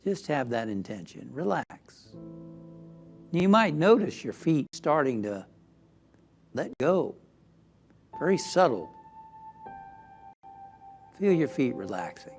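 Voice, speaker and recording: A man leading a guided meditation as a minister, gentle and soothing, often softening toward a whisper. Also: on the high side, big pitch swings, slow pace, long pauses, soft background music.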